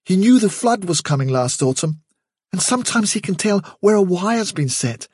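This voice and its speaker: A man's voice: high-pitched, animated, moderate pace, a little mellow, husky, no room sound, voice pushed up. A young storybook rabbit voiced by a man, protective and earnest, in a raised voice. He speaks respectfully and a little hesitantly, as to an elder. The sound is dry. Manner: polite, hesitant, deferential.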